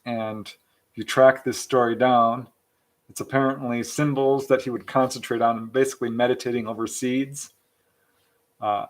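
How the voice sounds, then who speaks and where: A man hosting an informal, chatty live question-and-answer session, reading out audience questions. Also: mid-range voice. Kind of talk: casual live Q&A chat.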